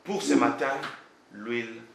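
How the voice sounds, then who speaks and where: A man's voice: medium pitch, takes it slowly, vocal fry, roomy sound, heavy background noise. A man preaching a sermon as pastor, emphatic and full of rhetorical questions; French is not his first language.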